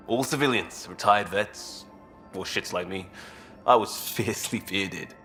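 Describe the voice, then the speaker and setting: A man's voice: fairly low pitch, slow pace, sharp tone, faint music behind. A man playing an easygoing, blunt former cop turned space rescuer in a video game, talking casually and candidly. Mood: nostalgic, easygoing. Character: easygoing, blunt ex-cop human crisis responder, male.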